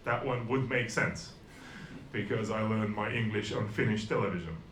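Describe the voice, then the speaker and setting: A man's voice: on the low side, normal speed, fairly bright tone, roomy sound, a little hiss or hum, rough recording. Dry, self-deprecating man in his forties. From Estonia. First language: Russian and Estonian. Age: 40s. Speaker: stand-up comedian. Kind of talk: stand-up comedy set.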